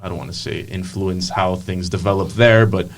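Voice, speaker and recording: A man speaking as a podcast guest, deliberate, with hesitant restarts. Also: deep voice, steady tone, gravelly. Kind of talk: casual discussion. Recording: voice distant from the mic.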